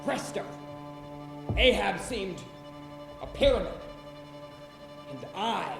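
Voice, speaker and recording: A man's voice: high voice, big pitch swings, vocal fry, music in the background, full character voice. A man playing a jovial, fearless, teasing whaling second mate, in theatrical, old-fashioned sailor speech. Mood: wry storytelling. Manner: jesting, rhetorical, unhurried. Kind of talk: theatrical stage acting, archaic sailor speech. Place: college auditorium stage, live performance. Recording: echoey theatre stage.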